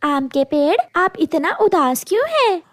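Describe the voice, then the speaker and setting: A woman's voice: very high-pitched, sing-song, measured pace, fairly bright tone, no room sound, clean studio sound, exaggerated voice. A woman voicing an eager, caring little female bird in a children's cartoon story. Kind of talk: cartoon storybook character acting.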